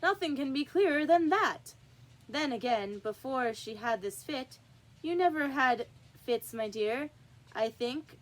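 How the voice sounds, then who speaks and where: A woman's voice: high-pitched, expressive, unhurried, pitched above the performer's own voice, rough recording. A woman playing a pompous, triumphant storybook king. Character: pompous, muttering king, adult man, smugly triumphant.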